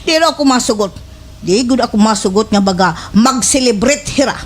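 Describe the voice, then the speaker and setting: A woman's voice: a little high, animated, brisk, slightly rough. A woman with a Waray accent playing an envious, scheming, easily enraged village gossip in a slapstick radio drama, with exaggerated, wailing delivery. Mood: envious fury.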